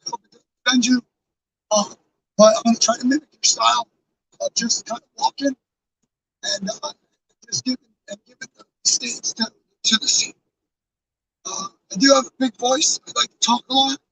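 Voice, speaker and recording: A hoarse man with a fairly high voice talks earnestly to his live chat, rambling with plenty of fillers and restarts. He sits back from the microphone, and faint background noise hums under his words. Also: wildly swooping pitch, normal speed, long pauses, bright, forceful tone, far from the mic, rough recording. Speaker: live streamer with a truck convoy. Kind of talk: casual live-stream talk to chat.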